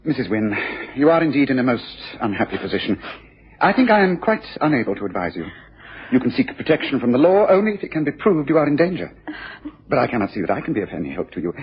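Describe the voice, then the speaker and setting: An actor playing a shrewd, analytical detective in a radio drama, speaking in a precise, formal, probing way. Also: male voice, mid-range voice, very animated, fast, breathy edge. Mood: measured, concerned. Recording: muffled lo-fi radio recording.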